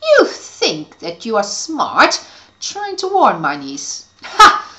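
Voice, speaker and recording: A woman's voice: a little high, wildly swooping pitch, slow, bright, forceful tone, heavily acted. A woman voicing a scheming, mean female witch who gloats and cackles. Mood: gloating. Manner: cackling, sly and exaggerated.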